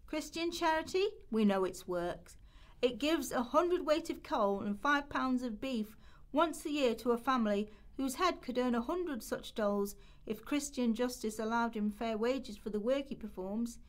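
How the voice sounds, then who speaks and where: A woman's voice: fairly high pitch, moderate pace. A woman reading historical quotations from a script as part of a radio play rehearsal.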